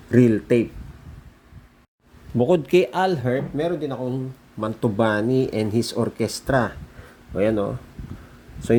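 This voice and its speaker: A man's voice: lowish voice, darkish tone, slight background noise. A man with a Filipino accent gives a casual, informal show-and-tell as a hobbyist, explaining things and often checking in with the viewer. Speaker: vintage audio hobbyist presenter.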